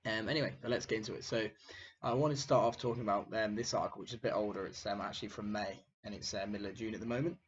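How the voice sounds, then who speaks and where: Croaky young man, hesitant market chat. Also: on the low side, steady tone, very fast. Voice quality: hesitant, many fillers. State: croaky, recovering from illness. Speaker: finance video host. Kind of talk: casual market commentary. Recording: dull lo-fi sound, faint noise.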